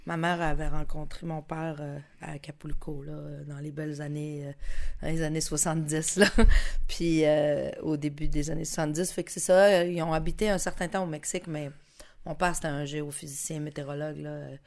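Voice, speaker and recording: A woman in her forties, born in Mexico, with a Lac-Saint-Jean Quebec French accent, telling stories in an animated, casual way with frequent laughs as a podcast guest. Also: low voice, brisk, gravelly. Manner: animated, laughs often between phrases, many fillers. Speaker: guest musician. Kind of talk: casual storytelling chat.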